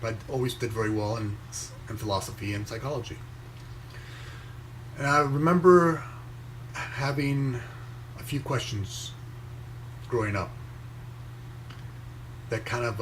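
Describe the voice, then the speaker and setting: A young man raised in Venezuela, reading a motivational post aloud and commenting on it in a slow, measured way with pauses between phrases. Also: on the low side, noisy background. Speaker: inspirational speaker reading his own post.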